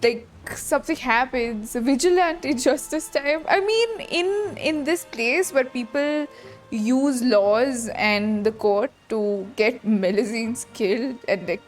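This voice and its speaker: High-voiced young woman, unhurried, reacting emotionally. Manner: emotional, halting, informal. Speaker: game streamer. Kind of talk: live reaction commentary on a game. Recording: game music behind.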